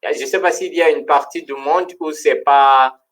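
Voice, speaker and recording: A man's voice: a little high, steady tone, fairly bright tone. Laughing man hosting a live stream. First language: not French. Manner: laughs often, halting restarts. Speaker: co-host of a livestream. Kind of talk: casual live-stream chat.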